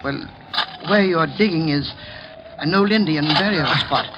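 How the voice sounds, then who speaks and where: An actor playing a superstitious, hesitant and deferential caretaker in an old-time radio horror play. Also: male voice, a little high, a little slow, natural voice. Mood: uneasy. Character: superstitious, fearful male caretaker and gardener, deferential servant. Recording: noisy, dull vintage radio recording.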